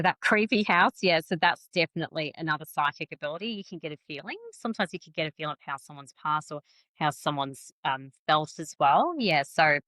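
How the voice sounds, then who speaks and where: A woman talking casually as a podcast guest about her work as a psychic medium, chatty and quick to laugh at herself. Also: fairly low pitch, a bit bright, no echo. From New Zealand and Malaysian family. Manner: chatty, self-deprecating, laughs often. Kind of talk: casual interview conversation.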